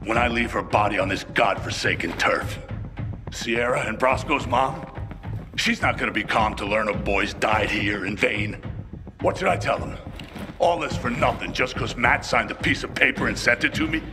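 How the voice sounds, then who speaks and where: A man's voice: mid-range voice, moderate pace, natural voice. A man voicing an army lieutenant who is angry, sarcastic and curses a lot. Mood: furious. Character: bitter male lieutenant, furious, grieving. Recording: reverberant cutscene with music.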